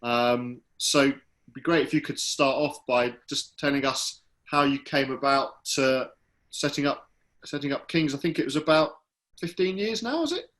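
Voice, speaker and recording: A man's voice: medium pitch, lively intonation, moderate pace, a bit bright. Friendly casual male business host. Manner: friendly, loose, lots of ums and trailing phrases. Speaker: host from the company.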